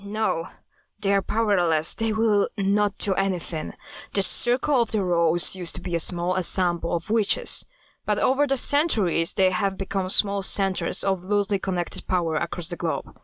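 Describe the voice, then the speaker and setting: Audio drama: blunt female cult hunter, played by a woman, matter-of-fact, mid-pitched, dry sound. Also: normal speed, no room sound, natural voice. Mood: firm. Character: blunt, guarded woman hunting a cult, estranged daughter.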